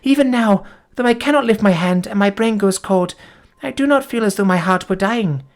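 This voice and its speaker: A woman's voice: medium pitch, normal speed, voice pushed up, lightly acted. A woman playing a dying young woman, gasping. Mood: tender, fading. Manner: gasping, tender, archaic formal speech. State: dying, faint. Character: young woman, loving and devoted, gasping as she dies.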